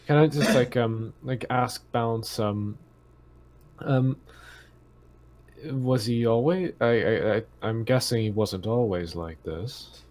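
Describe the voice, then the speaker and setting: A man's voice: mid-range voice, takes it slowly, a little mellow. A man chatting casually as a tabletop game player, hesitant and stammering, often repeating himself.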